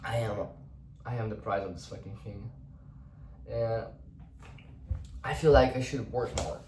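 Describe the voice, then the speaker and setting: A man's voice: on the low side, a little slow, darkish tone, far from the mic, a little hiss or hum. A young man whose first language is Portuguese, thinking aloud in English in an unscripted personal monologue, rambling and restarting often, with some casual swearing. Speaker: vlogger. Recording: roomy echo, voice set back from the mic.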